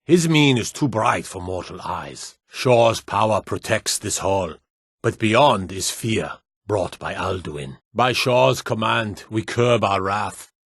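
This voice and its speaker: An actor playing a proud, hearty and solemn Nordic warrior in a fantasy video game, speaking grand archaic lines in a declamatory way. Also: male voice, fairly low pitch, animated, slow pace, creaky, husky, dry recording, studio quality, exaggerated voice.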